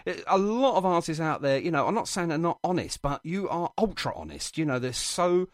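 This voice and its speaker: A man's voice: a little high, very animated, a little fast, no echo, studio quality. A man hosting a live radio interview in a chatty, effusive, rambling and anecdotal way. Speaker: radio show host.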